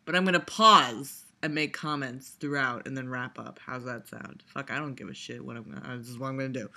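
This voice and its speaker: A woman's voice: a bass voice, a little fast, creaky, bright, forceful tone. A young woman vlogger giving a sarcastic, profane reaction, rambling with lots of laughs and fillers. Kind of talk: casual reaction commentary.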